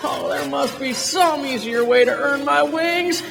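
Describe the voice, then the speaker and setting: An actor playing a kindly, hesitant guardian angel in a folksy radio drama. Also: male voice, very high voice, a little slow, vocal fry, big-room sound, heavily acted. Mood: reluctant, rueful. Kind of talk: amateur radio drama acting. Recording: music and crowd noise behind.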